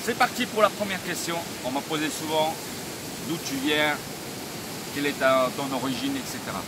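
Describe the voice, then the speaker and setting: A man, speaking halting in a casual question-and-answer vlog. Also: a little high. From Vietnam, of Chinese descent, moved to France at fourteen. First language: not French, learned French as a teenager. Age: 50s. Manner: halting, non-native French phrasing with repeated phrases. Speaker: YouTuber answering viewer questions. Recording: loud wind and ambient outdoor noise.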